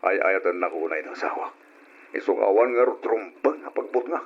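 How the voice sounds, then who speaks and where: A man playing a lovestruck buddy in a radio drama, chatting casually in a husky, joking voice. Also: fairly low pitch, expressive, moderate pace. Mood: playful. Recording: dull, lo-fi old radio recording.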